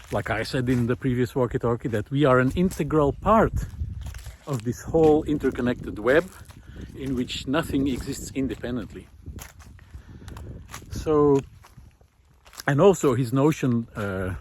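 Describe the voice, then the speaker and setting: A man giving an unscripted, reflective philosophical talk while walking, explaining ideas in a halting, thoughtful way with frequent pauses. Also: medium pitch, darkish tone. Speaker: host of a walking talk series. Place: outdoors, walking on a country road. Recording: outdoor recording with clear background noise.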